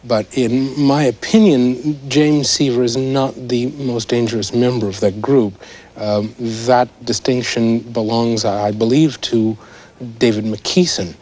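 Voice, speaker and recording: Male expert guest, a psychoanalyst played by an actor, in a TV news drama; medium pitch, halting, thoughtful explanations. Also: fairly even intonation, darkish tone, natural voice. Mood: thoughtful, concerned. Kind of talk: naturalistic mock news interview.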